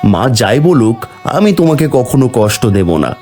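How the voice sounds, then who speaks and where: A man playing a gentle, apologetic young husband and dutiful son in a cartoon folk tale, soft-spoken and earnest. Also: medium pitch, big pitch swings, measured pace, soft background music. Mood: consoling, earnest. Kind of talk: Bengali cartoon fairy-tale voice acting and narration.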